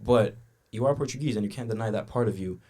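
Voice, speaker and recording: A man's voice: fairly low pitch, fast talker, mellow. A young man from Angola in casual podcast conversation, explaining his view at length with frequent restarts. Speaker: podcast co-host.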